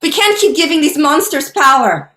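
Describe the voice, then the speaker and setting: A woman's voice: sits high, very animated, normal speed, pushed and bright, echoey room, exaggerated voice. A woman playing a defiant captive, confrontational and emphatic, in a screenplay table read. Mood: outraged. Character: defiant, outspoken captive woman standing up to gunmen.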